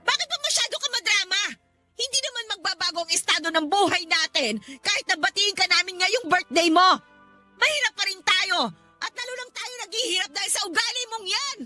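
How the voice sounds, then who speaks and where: A woman's voice: very high voice, lively intonation, fairly quick, bright, forceful tone, exaggerated voice. A woman acting an embittered, nagging mother of a poor household in a radio drama, scolding and accusing. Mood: bitter, angry. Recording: music behind the voice. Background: music.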